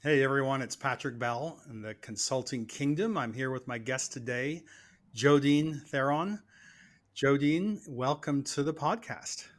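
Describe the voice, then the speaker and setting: A man's voice: mid-range voice, a little slow, a little hiss or hum. A man hosting a podcast interview, asking questions in a friendly, informal way. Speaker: podcast host. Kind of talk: casual interview.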